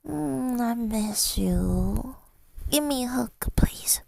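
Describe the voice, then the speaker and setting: A woman speaking softly and intimately in a husky, breathy ASMR style, hesitant and affectionate, often slipping into a whisper, close to the microphone. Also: fairly high pitch, lively intonation, extremely slow, no echo. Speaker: ASMR audio creator. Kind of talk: intimate ASMR girlfriend roleplay, telling about the day. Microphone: close microphone, dry sound.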